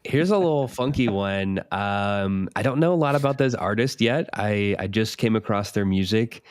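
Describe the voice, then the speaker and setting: A man's voice: lowish voice, lively intonation, normal speed, slight background noise. A man co-hosting a casual music podcast, speaking in a laid-back, hesitant way. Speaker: co-host.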